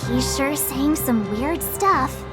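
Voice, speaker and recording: Very high, perky young girl adventurer. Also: takes it slowly. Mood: curious. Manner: chatty, exclamatory. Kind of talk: video game voice acting. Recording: game music behind the voice. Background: orchestral violin music.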